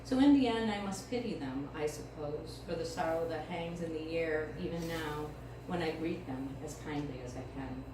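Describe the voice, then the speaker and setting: Echoey, distant woman reading poetry, lowish voice. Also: moderate pace, few pauses. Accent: American. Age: middle-aged. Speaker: featured poet reading at a poetry event. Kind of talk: live poetry reading with casual introductions. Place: indoor live event space, mic far from speaker. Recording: distant, echoey room recording with clear noise.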